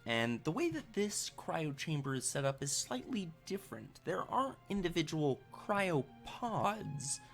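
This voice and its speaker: Halting man narrating as game master. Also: a little high, sing-song, vocal fry, fairly bright tone, faint music behind. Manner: hesitant, halting, conversational. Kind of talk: improvised tabletop game narration.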